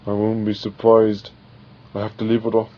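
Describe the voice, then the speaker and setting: A man's voice: fairly low pitch, completely flat delivery, moderate pace, highs cut off, lightly acted. A man playing a hostile, scornful middle-aged husband and father in an audio drama. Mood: irritated. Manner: snappy, scornful.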